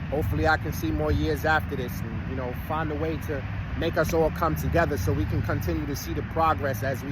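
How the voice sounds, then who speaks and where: A man about to turn forty, talking casually to his followers in a rambling, streetwise way with preachy asides. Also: a little high. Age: 30s. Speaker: vlogger. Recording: outdoor street noise, vehicles passing.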